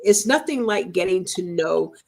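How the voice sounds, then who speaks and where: Gushing woman host with a gravelly edge. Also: on the low side, animated, moderate pace. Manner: effusive, repeats thanks, lots of uh fillers. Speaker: talk show host. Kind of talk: casual book talk interview.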